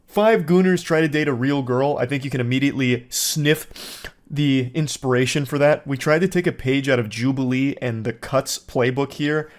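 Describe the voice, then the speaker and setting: A man's voice: medium pitch, normal speed. Dry sardonic male YouTuber. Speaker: YouTuber host. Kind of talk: casual commentary.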